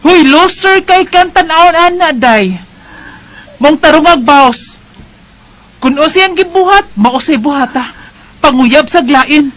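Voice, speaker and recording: A high-voiced young woman played by an actress with a Bisaya Filipino accent. She drawls slowly and teasingly, a skeptical best friend in a radio drama. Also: expressive, fairly bright tone, poor audio. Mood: teasing, scolding.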